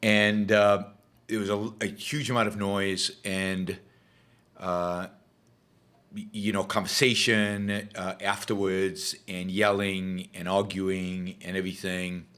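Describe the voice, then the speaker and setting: A man hosting his talk show, hoarse from losing his voice, rambling with many fillers and restarts. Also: sits low, flat delivery, takes it slowly, a bit bright. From Israel. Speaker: show host. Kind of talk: casual livestream talk.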